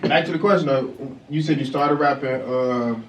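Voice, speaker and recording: A man co-hosting a casual chat, putting in lively, joking asides. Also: medium pitch, moderate pace, reverberant, distant mic, background noise.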